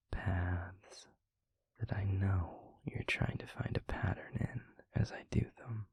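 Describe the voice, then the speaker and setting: A man playing a tender, wistful demon lover in an intimate audio roleplay, whispering softly and haltingly in a breathy, husky voice, close to the mic. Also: deep voice, no room sound, exaggerated voice. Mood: teasing, tender. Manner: slow, halting, soft-spoken delivery. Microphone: close microphone.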